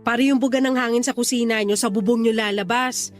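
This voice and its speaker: A woman's voice: fairly high pitch, steady tone, brisk, natural voice. A young woman with a Filipino accent playing a considerate but insistent neighbour in a radio drama, with music underneath. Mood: insistent, exasperated.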